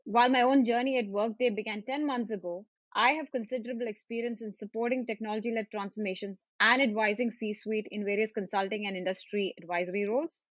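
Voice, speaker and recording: A woman's voice: medium pitch, steady tone, quick pace, hardly any pauses, dry recording. A woman hosting a webinar in a formal, scripted manner, with occasional fillers. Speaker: webinar host, value manager. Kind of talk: webinar moderation. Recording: dull, dry audio.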